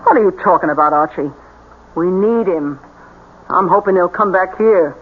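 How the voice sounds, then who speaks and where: An actress playing a scheming fake medium in a radio drama, with a controlling, commanding manner. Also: female voice, on the low side, moderate pace, slightly rough. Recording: muffled, noisy old radio broadcast.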